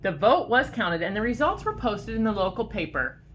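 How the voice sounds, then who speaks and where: A woman's voice: mid-range voice, big pitch swings, normal speed, slight background noise. A woman reading a picture book aloud to children like a teacher, expressively and with questions to the listeners. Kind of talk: animated storybook read-aloud for children.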